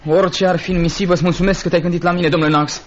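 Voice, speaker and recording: An actor in a radio play voicing an earnest, polite, idealistic young teacher. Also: male voice, high-pitched, fairly even intonation, a little hiss or hum, lightly acted. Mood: polite, eager. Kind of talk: radio drama acting. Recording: muffled old radio recording.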